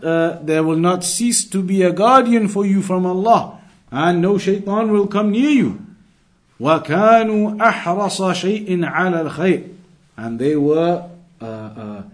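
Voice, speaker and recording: A male religious teacher with a fairly high voice leads a lesson. He reads from a text and explains it in an instructive way, restating key phrases so that they stand out. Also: expressive, normal speed. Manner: didactic, repeats key phrases for emphasis.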